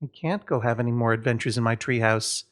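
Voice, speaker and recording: A man's voice: mid-range voice, brisk, a bit bright, natural voice. Storybook kid, read by a man: glum, matter-of-fact, slyly goading with dry warnings.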